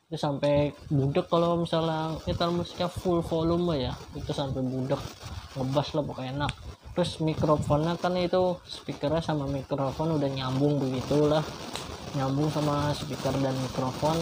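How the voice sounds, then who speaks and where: A man's voice: fairly high pitch, a little mellow. A man with a Jakarta Indonesian accent giving a casual, colloquial product review, often repeating himself and padding with fillers. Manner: colloquial, repetitive, filler-heavy. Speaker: vlogger reviewing gear. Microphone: modified external mic on action camera. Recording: clearly noisy homemade recording with clicks.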